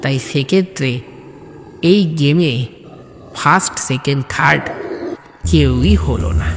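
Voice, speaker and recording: Slow-paced Indian man narrating, heavy reverb. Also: a little high. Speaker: storyteller, channel host. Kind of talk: audio story narration. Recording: long reverb, clear vehicle-like background noise.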